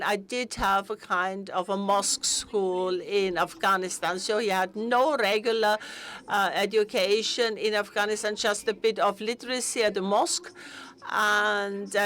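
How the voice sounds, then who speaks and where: A woman interpreting an interview into English on a conference stage, halting, with frequent fillers and restarts. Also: mid-range voice, fairly bright tone. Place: conference hall.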